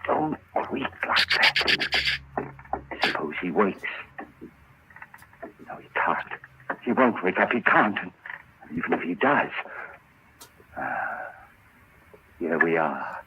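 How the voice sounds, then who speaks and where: Slow, low-voiced, tormented radio murderer. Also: male voice, expressive, bright, forceful tone, full character voice. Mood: hushed, sinister. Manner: brooding, melodramatic monologue. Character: tormented, murderous man plotting to kill his companion. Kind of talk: 1940s radio horror acting. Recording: noisy, band-limited old radio recording.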